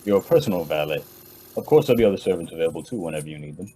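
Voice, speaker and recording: A man's voice: low-pitched, expressive, racing, mellow, slightly rough, soft background music. An actor playing a nonchalant young rich heir in an old-time radio drama, casually boastful about his family's wealth. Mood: casual boasting. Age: young adult.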